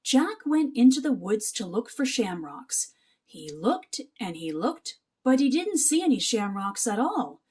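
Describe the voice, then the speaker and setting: Animated, high-voiced woman reading a storybook. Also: a little slow, breathy edge. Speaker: children's book read-aloud narrator. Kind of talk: expressive children's storybook narration.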